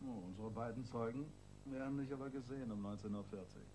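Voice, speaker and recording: An actor playing a hard, sarcastic police detective who presses a suspect in a radio crime play. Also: male voice, medium pitch, quick pace, mellow, a little hiss or hum, natural voice.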